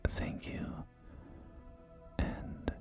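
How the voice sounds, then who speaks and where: Wasteland realtor male performer, courteous and salesy, slow creaky whisper for ASMR roleplay, music underneath. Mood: courteous, pleased. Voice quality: breathy whisper. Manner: courteous, gently salesy, hesitant pauses. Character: polite, salesy post-apocalyptic real estate agent. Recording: soft game music behind. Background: music and game audio.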